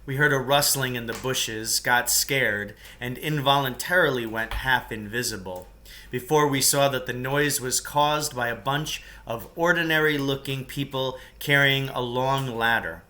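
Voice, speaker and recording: A man narrates a storybook with a strikingly bright, sharp-edged voice at a middle pitch. His reading is expressive and clearly paced out for listeners following a novel. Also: unhurried. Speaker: teacher reading a novel aloud.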